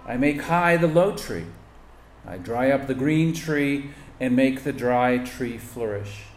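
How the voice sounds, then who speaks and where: A man's voice: mid-range voice, lively intonation, takes it slowly. Man reading scripture in an echoey room. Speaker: scripture reader.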